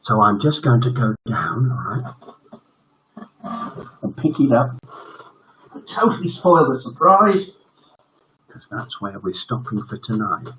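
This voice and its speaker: A man's voice: medium pitch, expressive, slow, pauses often, husky. A British man in his seventies hosting a bedtime story stream, reading tales and chatting casually. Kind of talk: storytelling and casual livestream chat. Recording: dull lo-fi livestream audio.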